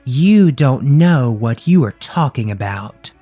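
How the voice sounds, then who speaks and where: A man's voice: mid-range voice, animated, mellow, faint music behind. A man putting on a gurgling haughty water ghost. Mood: haughty reproach. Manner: slow, lofty and reproachful. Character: gurgling drowned maiden ghost, haughty and indignant. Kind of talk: audiobook character voice.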